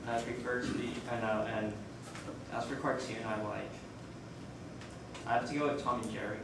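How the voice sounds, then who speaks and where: Halting, flat-voiced man from Texas. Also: mid-range voice, distant mic. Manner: halting, stops and restarts. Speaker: debate student. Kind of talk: classroom self-introduction. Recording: echoey room recorded from afar with noise.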